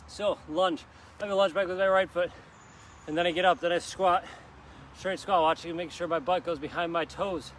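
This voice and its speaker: A man's voice: high-pitched, steady tone, moderate pace, fairly bright tone, slight background noise. A man leading a live workout in a park, coaching upbeat and encouraging while doing the moves himself and joking about his own slip-ups. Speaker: fitness instructor. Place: outdoor park.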